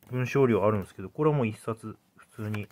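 Low-voiced man chatting, thinking aloud. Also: fast talker, darkish tone, a little hiss or hum. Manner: hesitant, thinking aloud with hedged, trailing phrases. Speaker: parent vlogger. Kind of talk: casual explanatory monologue.

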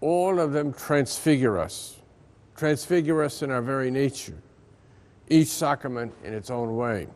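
Slow-reading older American priest. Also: male voice, medium pitch, darkish tone. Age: older, around 70. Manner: measured, solemn reading. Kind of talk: scripted religious reflection, reading aloud. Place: large ornate church interior.

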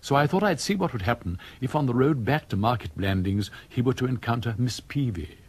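An actor playing a genial English gentleman narrating his own tale in a radio drama. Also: mid-range voice, animated, fairly quick, husky. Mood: wry.

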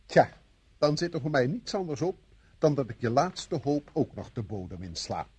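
An actor playing a stern, impatient police inspector in a radio play, brisk and authoritative. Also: male voice, medium pitch, sing-song, a little mellow, breathy edge. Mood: exasperated, pressing.

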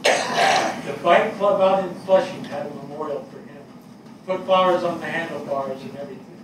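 A man in his sixties playing a plainspoken, grieving fire captain in a stage reading, halting and matter-of-fact. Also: a little high, natural voice. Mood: somber, halting. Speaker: stage actor in a dramatic reading. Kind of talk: conversational stage dialogue read from a script. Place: small theatre stage before an audience. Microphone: far camera. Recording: echoey theatre, distant mic, noisy.

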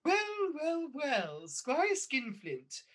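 Woman playing a vain, theatrical pantomime mother, husky. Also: high-pitched, huge pitch swings, drawn-out words, exaggerated voice. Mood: haughty, scornful. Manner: theatrical, exclamatory. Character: vain, haughty pantomime dame-mother, newly young and beautiful. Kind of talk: radio pantomime acting.

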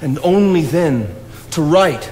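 An earnest, brooding writer of the 1800s in a stage drama. His voice sits fairly high and he declaims with deliberate conviction. It rings in a theatre with faint background noise. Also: lively intonation, warm tone, long reverb tail. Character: earnest, idealistic 19th-century writer, brooding and impassioned.

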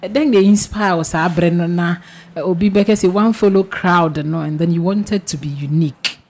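A woman's voice: on the low side, normal speed, a little mellow. A young woman with a Ghanaian accent, interviewing conversationally as a radio host in a studio. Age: young adult. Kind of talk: conversational interviewing in mixed languages. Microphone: boom-arm studio microphone, close. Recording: faint background noise.

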